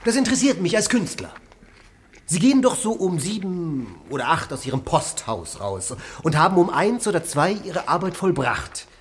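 A man's voice: high-pitched, big pitch swings, normal speed, slightly rough, full character voice. A man playing an eccentric, probing artist in a radio play. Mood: curious. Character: eccentric, curious male artist living in the house. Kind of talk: German radio play acting.

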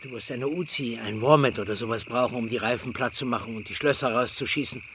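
A mid-range male voice from an actor plays a fussy retired explosives man in a radio crime play. He lists details calmly and exactly, with faint background noise around him. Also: medium pitch, fast, lightly acted. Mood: calm, informative. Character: fussy, methodical retired male explosives expert selling weapons. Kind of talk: German crime radio drama acting.

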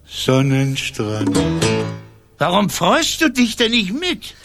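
An actor playing a tired old Santa Claus who dreams of retirement, with a sighing, rhyming manner, in a children's radio play with songs. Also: male voice, sits high, wildly swooping pitch, takes it slowly, over music, exaggerated voice. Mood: dreamy, longing. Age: older.

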